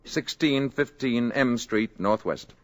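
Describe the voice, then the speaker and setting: An actor playing a calm, kindly visitor from space who passes as a man, measured and courteous, in 1950s radio drama style. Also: medium pitch, close to an everyday voice. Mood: quietly assured. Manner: measured, courteous, quietly authoritative.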